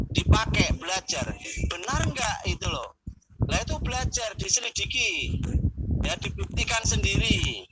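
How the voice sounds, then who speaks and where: Man calling in through very heavy noise. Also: high-pitched. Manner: colloquial, repeats points emphatically. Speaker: guest caller on an online religious talk show. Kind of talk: casual exhortation. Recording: very noisy online call with clicks.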